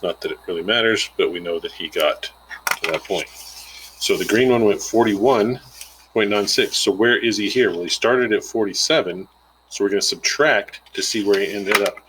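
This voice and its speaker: A man's voice: on the low side, moderate pace, noisy background. A man giving an informal, plainspoken lesson, explaining a worked example step by step and thinking aloud as he calculates. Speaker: instructor.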